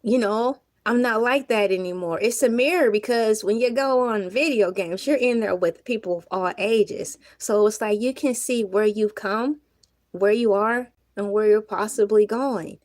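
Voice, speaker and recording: A woman hosting a casual livestream, talking earnestly to her viewers with a slightly hoarse voice. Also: mid-range voice, measured pace, a little mellow, no echo, clean studio sound. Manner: chatty, earnest, reflective, laughs often. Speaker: livestream host.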